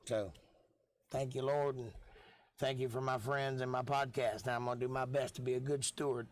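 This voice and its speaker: A middle-aged man, hoarse from losing his voice, chatting casually with viewers as host of a live stream. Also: medium pitch, fairly even intonation, normal speed, no room sound. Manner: laid-back, chatty, folksy.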